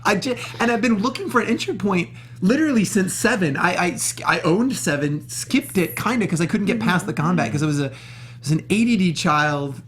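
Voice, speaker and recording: Man, high voice, rambling, emphatic, jokey, casual talk-show host. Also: expressive, fairly quick, a little hiss or hum. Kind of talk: casual panel chat about games and film.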